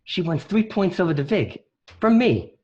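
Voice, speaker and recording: A man's voice: high-pitched, sing-song, moderate pace, a little mellow. A man playing a stubborn, indignant wiseguy bookie in a radio drama, griping and combative. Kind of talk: amateur radio drama acting. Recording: dull lo-fi home recording.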